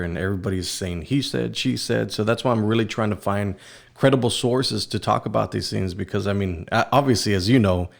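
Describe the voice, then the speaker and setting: Male podcast host, lowish gravelly voice, casual interviewing style.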